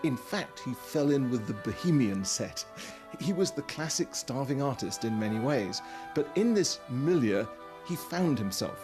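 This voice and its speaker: A man's voice: mid-range voice, expressive, a little slow. Confident male expert over music. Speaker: interviewed expert. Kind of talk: documentary interview.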